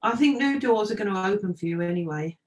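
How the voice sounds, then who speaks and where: She is a chatty woman guest with an ordinary mid-range pitch. She speaks earnestly and rambles from one thought to the next in easy, conversational talk. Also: animated, quick pace, crowd noise. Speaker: guest, trance medium. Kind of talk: casual interview chat.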